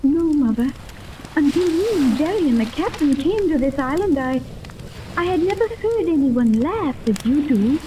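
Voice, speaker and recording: A high, lilting girl's voice from an old radio serial, soft-toned and earnest. She speaks formally and precisely, like someone sheltered who takes everything literally, over faint hiss. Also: sing-song, moderate pace, rough recording. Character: earnest, sheltered young girl raised among cold scientists. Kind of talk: 1930s radio serial acting. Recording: hissy old 1930s radio recording.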